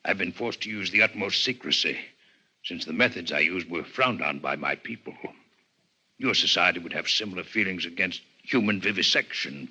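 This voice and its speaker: An actor playing a grave, coldly intellectual alien physician in a 1950s radio drama, speaking in formal, measured lectures with a husky voice. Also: male voice, low voice, sharp tone, heavily acted, low-quality recording. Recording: 1950s radio broadcast recording.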